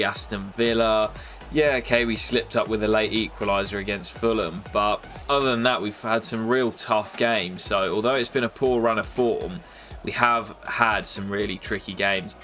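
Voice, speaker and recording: A young man with a nasal voice from a cold, commentating his football game in a casual play-by-play that jumps up excitedly at big moments. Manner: excitable, bursts up in pitch at goals and misses. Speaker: gaming YouTuber commentating his career mode.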